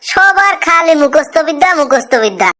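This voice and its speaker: Very high-pitched, grumbling Bangladeshi cartoon boss. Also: female voice, big pitch swings, fairly quick, pushed and bright, full character voice. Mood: exasperated, grumbling. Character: weary, grumbling cartoon job interviewer, easily impressed. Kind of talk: comic cartoon dialogue.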